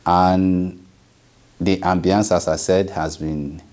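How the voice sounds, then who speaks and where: Low, mellow Ghanaian priest. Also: male voice, little pitch movement. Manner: measured, pausing with fillers. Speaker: priest and delegate. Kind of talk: interview answers to camera. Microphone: camera at head-and-shoulders framing.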